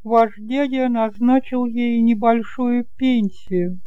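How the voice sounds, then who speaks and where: A genteel middle-aged aunt, acted by a man, pitched very high. She is solicitous and gossipy, pressing each point with emphasis. The delivery is that of an audiobook drama, recorded dry and close. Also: steady tone, slow, warm tone, clear, resonant tone, dry recording, lo-fi sound. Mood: worried, warning.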